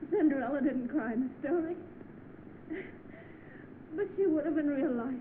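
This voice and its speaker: A woman's voice: high-pitched, moderate pace, phone-quality sound, close to an everyday voice. A woman playing a self-sacrificing young sweetheart in an old film melodrama, on a noisy, thin soundtrack. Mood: tearful joy.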